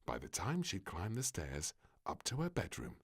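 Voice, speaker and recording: Very deep-voiced male storybook narrator. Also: huge pitch swings, moderate pace, husky. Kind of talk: expressive scripted children's story narration.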